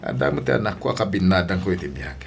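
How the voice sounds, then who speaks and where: A man's voice: deep voice, big pitch swings, fast, gravelly, background noise, exaggerated voice. A man with a Filipino accent playing a devoted, hardworking widowed father in an audio drama. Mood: firm. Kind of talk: Ilocano audio drama acting.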